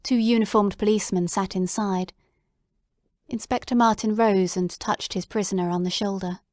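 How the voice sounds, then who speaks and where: A woman's voice: mid-range voice, steady tone, normal speed, a little mellow, no echo, clean studio sound. A woman reading scripted story narration as the narrator of an audiobook.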